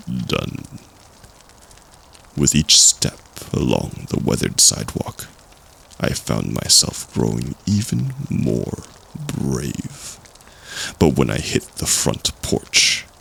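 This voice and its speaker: A man playing a storyteller recalling a spooky childhood tale, with slow, suspenseful, dramatic phrasing in an audio roleplay. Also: fairly bright tone, exaggerated voice. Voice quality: deep, gravelly.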